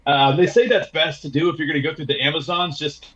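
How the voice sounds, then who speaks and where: A man's voice: fairly high pitch, steady tone, fast talker, a crowd in the background. A man chatting casually as a podcast guest over a video call, with laid-back, slangy phrasing about his own experiences. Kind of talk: casual travel chat. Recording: dull lo-fi video call audio.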